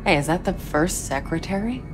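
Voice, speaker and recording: A woman playing a calm, caring, wry investigator who senses spirits, in measured video game dialogue and narration. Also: fairly low pitch, music in the background, lightly acted. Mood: musing, curious. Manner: measured, musing to herself.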